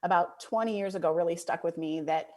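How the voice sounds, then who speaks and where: A middle-aged woman with a Michigan accent, a guest author telling stories in a relaxed, reflective way, laughing easily. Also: fairly low pitch, a little fast. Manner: reflective, storytelling, easy laughs. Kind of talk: conversational interview.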